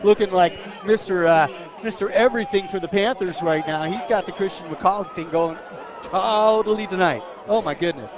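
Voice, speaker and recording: High-voiced American male football color commentator. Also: moderate pace, dull audio. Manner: enthusiastic, admiring, conversational. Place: outdoor football stadium. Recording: stadium crowd behind, outdoor game broadcast. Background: stadium crowd.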